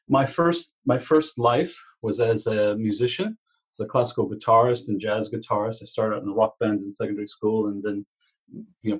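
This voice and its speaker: A man's voice: on the low side, slightly rough. A man, a guest chatting casually and rambling with many restarts. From Texas. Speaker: guest, business coach. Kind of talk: casual interview chat. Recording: dull lo-fi remote line.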